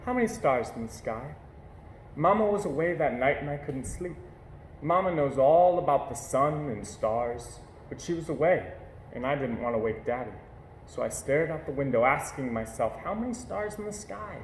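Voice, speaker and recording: A man reading a children's picture book aloud with gentle, measured storytelling. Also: fairly high pitch, expressive. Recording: echoey room with faint hiss.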